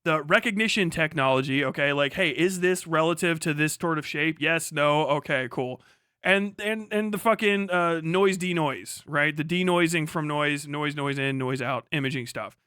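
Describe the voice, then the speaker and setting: A man's voice: fairly high pitch, moderate pace, bright, forceful tone, clear, resonant tone, no room sound, studio quality. A man on a casual, emphatic livestream rant, speaking off the cuff, repeating himself for emphasis and swearing freely. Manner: emphatic, repetitive, profane.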